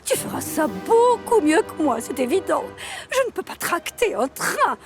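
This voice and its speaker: An actress playing a lazy, vain female diesel railcar in a children's cartoon, plaintive, theatrical and full of flattery. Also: extremely high pitch, a little slow, over music, heavily acted. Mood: flattering.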